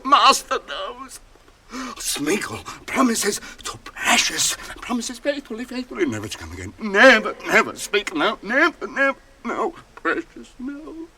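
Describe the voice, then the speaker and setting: A cringing, treacherous creature in a radio play, gravelly and hissing. The voice sits very high and swoops wildly from whimper to shriek, while the words come out slowly, dragged and wheedling. A loud noise runs under the recording. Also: male voice, wildly swooping pitch, heavily acted. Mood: pleading. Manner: shifts from fawning whimper to shrieking rage. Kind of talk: radio drama acting.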